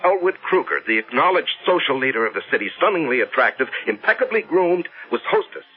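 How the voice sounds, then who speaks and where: An intense American businessman sunk in obsessive grief, insisting and repeating himself. His husky voice swings widely in pitch. The sound is a dull, narrow old radio drama recording. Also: very animated, fast. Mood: feverish, urgent. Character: obsessive, grieving self-made businessman son. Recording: band-limited old radio recording with music.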